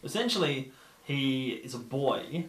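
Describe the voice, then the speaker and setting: A man's voice: medium pitch, animated, a little slow, a bit bright. A man hosting a podcast, chatting casually about film with dry, joking remarks. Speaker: podcast host. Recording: echoey, faint background noise.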